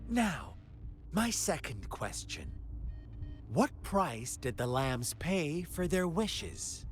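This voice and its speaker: An actor playing a sinister, taunting game villain who tells a twisted fairy tale in a theatrical, drawn-out manner. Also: male voice, fairly high pitch, sing-song, a little hiss or hum, full character voice. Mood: taunting, probing. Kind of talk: video game character acting, storytelling monologue. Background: background music.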